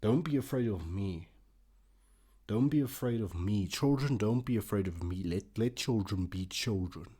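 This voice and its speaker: A man's voice: on the low side, moderate pace, dark tone, husky. A man, an Afrikaans speaker, hosting a casual reaction video and thinking aloud with lots of fillers.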